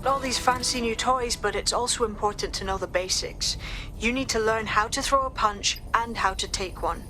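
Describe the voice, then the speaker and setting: Practical female resistance mentor over rumbling noise. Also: moderate pace, pushed and bright. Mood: firm. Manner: instructive, matter-of-fact. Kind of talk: scripted video game voice acting. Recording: clear background noise, low rumble.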